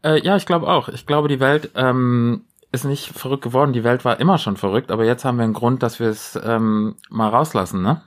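A man's voice: medium pitch, a little fast, few pauses. A man chatting casually as a podcast co-host, rambling along in a chatty way. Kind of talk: casual chat.

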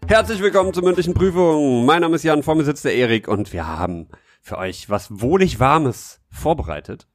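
A man co-hosting a podcast in an informal, halting way, searching for his words as he chats. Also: fairly high pitch, expressive. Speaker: podcast co-host. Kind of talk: casual chat.

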